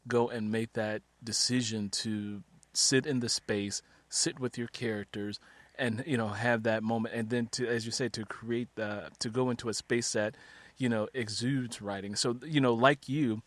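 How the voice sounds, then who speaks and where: A man's voice: medium pitch, steady tone, moderate pace, no echo. A man hosting a conversational book interview as a fellow author, speaking in an effusive, encouraging way.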